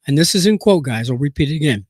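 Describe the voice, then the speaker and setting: Man, from Nebraska, hoarse with a cold, live-stream host, halting, filler-heavy, dry sound. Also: on the high side, lively intonation, dry recording. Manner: halting, full of "uh" fillers and restarts. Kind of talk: live-stream commentary, reading aloud.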